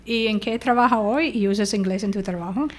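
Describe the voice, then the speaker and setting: A woman's voice: medium pitch, fairly quick. A woman hosting a podcast who interviews her guest with short, encouraging prompts and questions. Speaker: podcast host.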